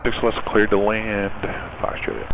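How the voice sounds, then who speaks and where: A man's voice: fairly low pitch, level tone, a little slow, vocal fry. A man working as a pilot, making clipped calls over aircraft radio. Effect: radio transmission. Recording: muffled aircraft radio, noisy. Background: aircraft and airfield noise.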